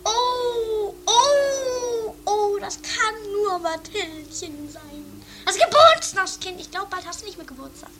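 A woman's voice: very high-pitched, slow pace, hardly any pauses, a crowd in the background, full character voice. A woman playing a stubborn, easily frightened, bickering sister in an amateur comedy radio play, whiny and theatrical. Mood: grumpy. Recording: very noisy lo-fi 1980s home recording.

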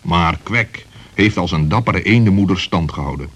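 A man narrating a scripted children's story in a husky voice, with expressive, dramatic telling. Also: deep voice, moderate pace.

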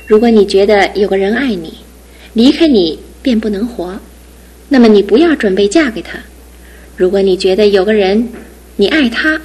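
An actress playing a devoted young fiancée in a studio radio drama, speaking earnestly and with soft insistence. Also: female voice, medium pitch, slightly rough, poor audio. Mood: gently firm. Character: devoted young fiancée, gentle but resolute.

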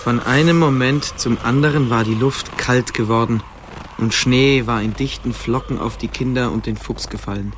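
A man's voice: mid-range voice, fairly even intonation, normal speed, slight background noise, natural voice. A man narrating a scripted fantasy radio play in German as its storyteller. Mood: composed, storytelling.

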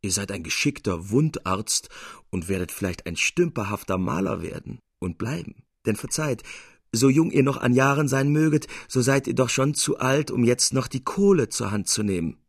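A man's voice: mid-range voice, animated, moderate pace, creaky, slightly rough, no room sound, studio quality. A man voicing a skeptical, weary older master painter in an audiobook, with emphatic, formal, old-fashioned speech. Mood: frank, surprised. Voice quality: weak, plaintive when ailing.

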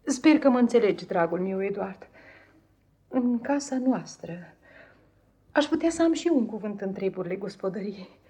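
An actress playing a meek, anxious, affectionate young wife in a radio play, pleading and hesitant in an apologetic way. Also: female voice, mid-range voice, lively intonation, mellow, slightly rough. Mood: timid protest. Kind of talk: 1950s radio theatre acting.

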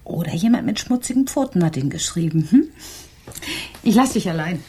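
A woman playing a busy, mildly exasperated mother in a children's radio play, coaxing and gently chiding in an everyday way. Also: on the low side, animated, moderate pace, husky. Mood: casual, teasing.

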